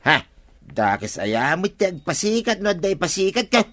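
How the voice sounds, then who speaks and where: Bright-voiced whiny Ilocano village man. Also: high-pitched, very animated, moderate pace. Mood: teasing. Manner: exclamatory, groaning interjections. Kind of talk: Ilocano radio comedy drama acting.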